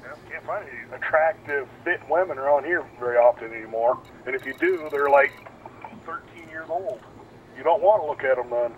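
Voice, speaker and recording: A man's voice: on the high side, brisk. A man from the United States chatting casually with other gamers over online voice chat. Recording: tinny voice-chat line, noisy, distant.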